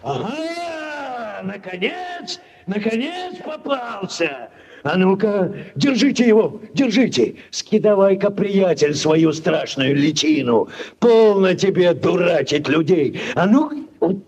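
A man's voice: high-pitched, expressive, slow, darkish tone, husky, heavily acted. An actor playing a bossy middle-aged village headman, pompous and barking, in theatrical 1940s radio style. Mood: triumphant. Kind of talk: 1940s Soviet radio acting.

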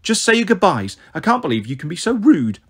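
A man voicing an indignant woman neighbour in a storybook, sharp-tongued, sarcastic and commanding. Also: sits high, sing-song, a little fast, husky, voice pushed up, exaggerated voice.

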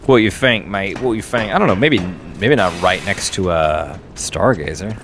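A man's voice: sits low, normal speed, a bit bright, soft background music. A man giving casual let's play commentary, muttering half to himself and half to the game. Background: video game sound.

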